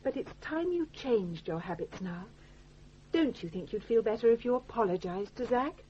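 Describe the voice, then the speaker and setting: An actress playing a brisk, forthright young woman who takes charge in a radio drama, firm and commanding. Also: on the high side, animated, close to an everyday voice. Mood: cool, determined. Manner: commanding, firm, crisp retorts. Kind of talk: 1980s radio drama acting. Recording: dull, noisy old radio recording.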